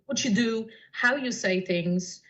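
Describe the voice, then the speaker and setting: A woman's voice: a little high, takes it slowly, a bit bright. Woman, muffled lo-fi sound. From Poland. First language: Polish. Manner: thoughtful, searching for words as she goes. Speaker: writer and translator. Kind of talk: reflective conversation about language. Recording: dull lo-fi audio.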